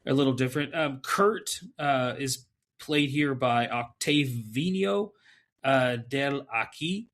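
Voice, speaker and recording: A man's voice: mid-range voice, slow pace, fairly bright tone. A man co-hosting a film podcast, talking casually and laughing often, with plenty of fillers. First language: English. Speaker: podcast co-host. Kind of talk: casual chat about films.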